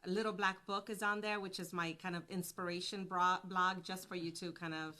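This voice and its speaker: A woman's voice: on the low side, flat delivery, fairly quick, bright, forceful tone. A woman from Poland with a Polish accent, a business owner chatting upbeat and easily as a guest on an interview show. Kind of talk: casual interview chat.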